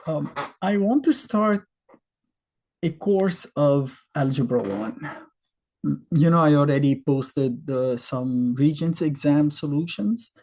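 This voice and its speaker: A man's voice: on the high side, takes it slowly, a little mellow. A man in his fifties from Egypt, a native Arabic speaker with an Egyptian accent, teaching a beginner math lesson in a casual, conversational way with rhetorical questions and fillers.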